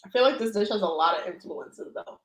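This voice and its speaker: A woman's voice: medium pitch, lively intonation, fairly quick, echoey room. A woman hosting a casual tarot live stream who chats and laughs while she eats. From Dominican. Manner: chatty and laughing, talks while eating.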